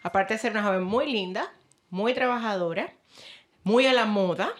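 A woman co-hosting a podcast interview, lively and talkative. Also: mid-range voice, normal speed, a little hiss or hum. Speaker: podcast co-host. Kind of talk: casual interview chat.

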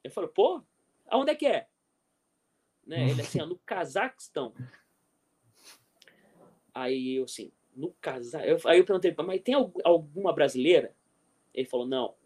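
A middle-aged Brazilian man with a Brazilian Portuguese accent, a guest coach telling animated anecdotes in a casual interview and often checking that the listener follows. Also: on the high side, normal speed, long pauses, slightly rough. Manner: animated anecdotes, frequent "entendeu?", chuckles.

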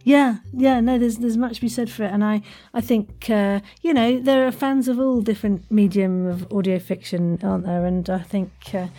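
A woman's voice: medium pitch, steady tone, normal speed, hardly any pauses, dark tone. A woman hosting a podcast, chatting to guests and listeners in an effusive, playful way full of wordplay. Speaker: podcast host. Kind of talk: chatty interview and sign-off to listeners.

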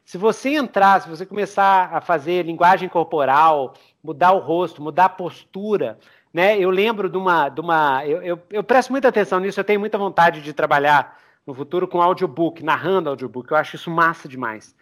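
A Brazilian man chatting casually as a podcast host, telling stories in an animated way. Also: fairly high pitch, brisk. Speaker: podcast host, game master.

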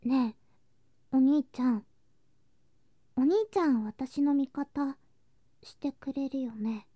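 A woman voicing a sweet, affectionate younger girl cousin in a Japanese ASMR situation drama, in a cutesy, clingy manner with soft whispery touches. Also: high-pitched, fairly even intonation, takes it slowly. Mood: affectionate, confiding.

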